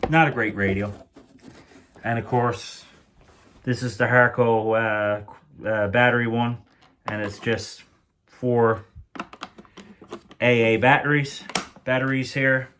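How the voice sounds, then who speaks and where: A man of middle pitch talks very slowly through a casual show-and-tell at home. He pauses often, restarts his sentences and fills the gaps with "uh". He is off screen, heard on the camera's own mic. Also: fairly even intonation, a bit bright, slight background noise. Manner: slow, hesitant, many fillers and restarts. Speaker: radio collector. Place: indoors, home room with radios on floor.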